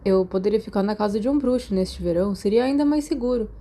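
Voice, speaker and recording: Storybook schoolboy, read by a woman: reserved, tired, dry, a bit prickly, Brazilian, soft dark timbre. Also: medium pitch, quick pace, lightly acted. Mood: weary, dry. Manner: dry, understated. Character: weary, reserved, dryly irritable schoolboy.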